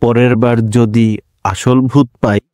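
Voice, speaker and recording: A man's voice: medium pitch, steady tone, unhurried, mellow, clear, resonant tone, no room sound, clean studio sound, natural voice. A man playing a cheeky, bold-talking young detective in a cartoon drama. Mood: wry.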